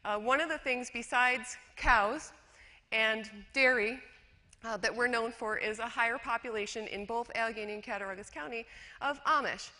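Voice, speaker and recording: A woman's voice: fairly high pitch, moderate pace, sharp tone. A woman educator from rural western New York giving a chatty, self-deprecating conference talk with slides, full of fillers and restarts. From rural western New York, USA. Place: lecture hall. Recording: long hall reverb.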